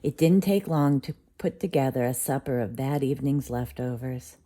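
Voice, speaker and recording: A woman reading a bedtime story aloud to a child, gentle and unhurried. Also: a bass voice.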